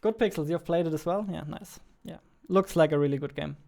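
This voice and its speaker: A man in his twenties, a game developer chatting playfully with his viewers while playing, on a studio mic in a small dark room. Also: fairly high pitch, a little fast, vocal fry. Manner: playful, excitable, talks back to the chat. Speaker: game developer streaming his daily devlog. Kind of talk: casual live-stream chat while playing. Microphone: studio mic on a boom arm, wearing headphones.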